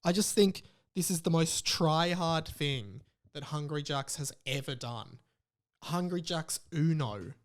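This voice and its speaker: A man with an Australian accent and a somewhat high-pitched voice hosts a podcast. He talks informally and casually, rambling through his points as if thinking aloud. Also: unhurried. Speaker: podcast host. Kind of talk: casual solo podcast talk.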